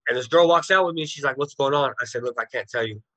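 Bright-voiced man telling a hard story. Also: mid-range voice, fast talker, no echo. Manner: blunt, plain-spoken storytelling with street slang. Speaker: interview guest. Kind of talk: telling his life story. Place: indoors, video call.

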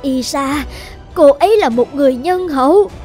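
A woman playing a kindly, concerned older neighbour auntie in an animated fairy tale, speaking in a soothing way. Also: sits high, normal speed, faint music behind. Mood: alarmed, pleading.

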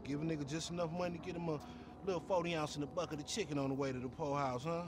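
An actor playing a brash, sarcastic young American street gangster in a video game, taunting and slangy with mock politeness. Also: male voice, fairly high pitch, steady tone, racing, close to an everyday voice. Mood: needling, joking. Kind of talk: video game cutscene acting. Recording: game street ambience, traffic noise behind.

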